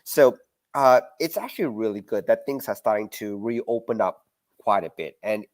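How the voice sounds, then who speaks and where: A man's voice: fairly low pitch, normal speed, no room sound, clean studio sound. An Australian man co-hosting a casual live-stream chat, earnest and rambling. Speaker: podcast co-host.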